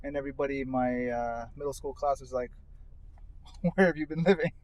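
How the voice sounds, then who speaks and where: A man's voice: normal speed, lo-fi sound. A man chatting casually and explaining things in a hesitant, rambling way. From born in India, at school in the United States. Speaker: co-host of a ride-along conversation show. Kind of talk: casual chat. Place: inside a car on the road. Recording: very noisy moving car interior, road rumble. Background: car and outdoor traffic noise.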